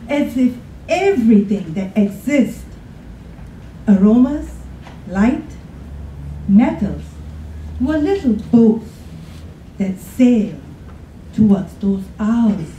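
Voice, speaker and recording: A woman giving a dramatic poetry recitation in a theatrical way, with pauses, at a podium. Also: medium pitch, mellow, dull audio. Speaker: poetry contest reader. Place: college room, at a podium. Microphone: podium microphone, filmed from the audience. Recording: echoey room, distant, faint noise.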